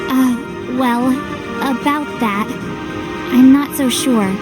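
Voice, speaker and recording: An actress playing an earnest, determined young heroine in a video game cutscene, speaking emphatically over music. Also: female voice, high-pitched, slow pace. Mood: reflective. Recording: loud music behind.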